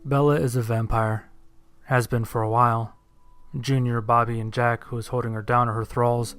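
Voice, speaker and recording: A man narrating a first-person horror story from a script in a measured, matter-of-fact way. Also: medium pitch, level tone, fairly bright tone, clear, resonant tone.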